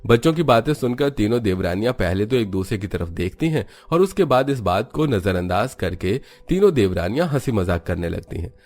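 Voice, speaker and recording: A man narrates a scripted cartoon story in a low-pitched voice. He works as a storyteller, with music playing behind him. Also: a little mellow.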